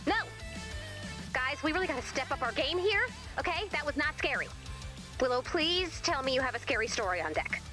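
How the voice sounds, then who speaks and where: A woman's voice: high-pitched, very animated, fairly quick. A woman voicing an exasperated, bossy group leader in a video game, emphatic and pleading. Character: exasperated bossy leader rallying hapless friends. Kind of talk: video game character voice acting. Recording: music behind the voice.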